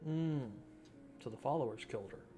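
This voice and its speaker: A man's voice: mid-range voice, normal speed, mellow, faint music behind. A man giving informal let's play commentary, thinking aloud as he goes. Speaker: gaming video host.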